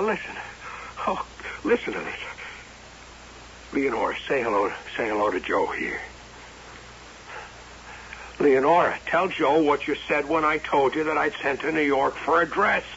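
An actor playing an obsessive, excitable carnival showman in an old-time radio drama, by turns musing and defensive. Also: on the high side. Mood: agitated disbelief. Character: obsessive carnival showman and inventor, smitten with his wax doll. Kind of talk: old-time radio drama acting with first-person narration. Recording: muffled, hissy old radio recording.

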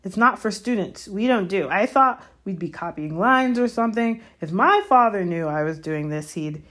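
Storybook rich schoolboy, played by a woman: haughty, cowardly, whining protests, raised pitch. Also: expressive, normal speed, a bit bright, natural voice. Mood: panicky, indignant. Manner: protesting, anxious, entitled. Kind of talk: audiobook character voice.

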